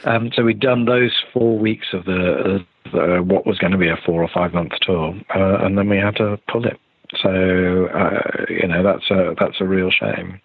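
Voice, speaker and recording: A man's voice: deep voice, fairly even intonation, a little slow. An older man being interviewed as a theatre director on a remote podcast call, talking in a hesitant, rambling way with restarted sentences. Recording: roomy, thin phone-like call audio.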